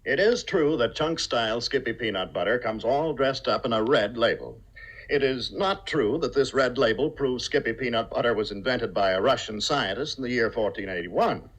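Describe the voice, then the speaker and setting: A man's voice: medium pitch, normal speed, fairly bright tone, low-quality recording. A man reading a tongue-in-cheek 1950s radio advertisement with mock-earnest emphasis. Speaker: commercial pitchman. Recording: old 1950 radio broadcast.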